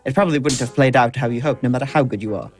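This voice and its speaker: An actor playing a seasoned sword instructor in an audio drama, dry, blunt and patient, with a measured teaching manner. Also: male voice, medium pitch, soft background music, close to an everyday voice. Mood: firm, instructive.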